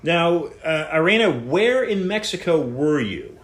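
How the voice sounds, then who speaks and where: A man hosting a live call-in show, introducing and questioning a guest in a halting way, with many restarts. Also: a little high, very animated. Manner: halting, full of restarts and fillers. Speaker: podcast host.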